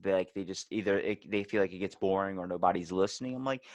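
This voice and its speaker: A man's voice: low voice, fast, no echo. A man hosting a podcast in casual, rambling conversation, informal and given to swearing. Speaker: podcast host.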